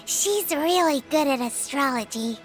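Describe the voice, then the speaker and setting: A woman voicing a small, cheeky, childlike companion with a squeaky voice in an anime-style game. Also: animated, unhurried. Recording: music playing behind the voice.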